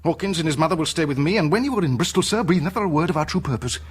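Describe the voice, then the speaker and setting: An actor playing a sensible, plain-spoken English doctor in a period radio drama, measured and blunt. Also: male voice, a little high, expressive, husky. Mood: grave warning.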